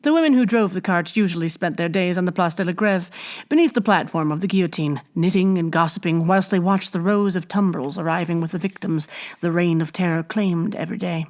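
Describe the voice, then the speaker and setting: A woman reading a classic adventure novel aloud as an expressive storybook narrator. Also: on the low side, a little fast. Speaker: audiobook reader.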